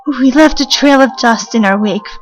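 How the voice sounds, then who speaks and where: A woman voicing an earnest, solemn child in a fan comic dub, speaking deliberately with pauses. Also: on the high side, natural voice. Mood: solemn confession. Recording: music under the voice.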